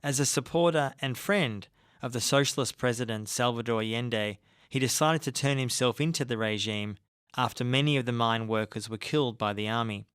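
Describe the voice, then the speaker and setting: A man narrating a documentary podcast from a script, in a measured, even delivery. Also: medium pitch, clear, resonant tone, no room sound, studio quality. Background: faint music.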